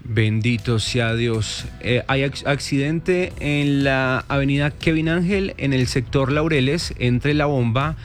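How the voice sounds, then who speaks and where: A man hosting a morning radio traffic show, lively and joking as he reads listener reports. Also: medium pitch, slow pace, few pauses, soft background music. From Colombia. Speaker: radio host.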